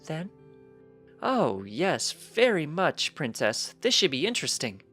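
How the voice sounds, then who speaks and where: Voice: medium pitch, sing-song, a little slow, fairly bright tone, soft background music, voice pushed up. A narrator voicing a precocious young pony colt, earnest and cheeky, chatting eagerly in a matter-of-fact way for a story reading.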